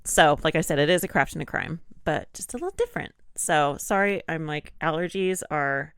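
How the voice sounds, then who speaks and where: A woman's voice: low-pitched, brisk, smooth, dry recording, clean studio sound. Congested woman reading aloud casually. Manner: relaxed, laughs often. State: congested, a little nasal. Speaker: podcast co-host.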